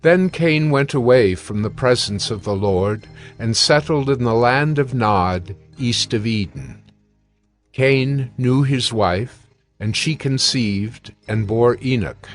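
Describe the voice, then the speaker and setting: A man narrating scripture from a script in a measured, solemn manner. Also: medium pitch, soft background music.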